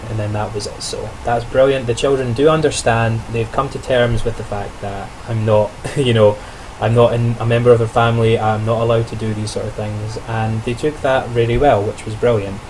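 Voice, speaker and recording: Young man's flat, low video diary voice. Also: fairly quick, hardly any pauses, slight background noise, low-quality recording. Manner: chatty, self-correcting, reflective, laughs lightly. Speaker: trainee teacher on placement.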